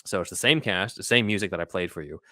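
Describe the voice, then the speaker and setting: A man's voice: low voice, quick pace, bright, forceful tone, no room sound, clean studio sound. A man hosting a podcast, explaining things in a casual, chatty style. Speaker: podcast host.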